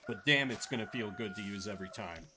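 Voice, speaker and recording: A man's voice: on the low side, fast. A man giving casual, playful, sweary gameplay commentary as a streamer. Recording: clearly noisy background.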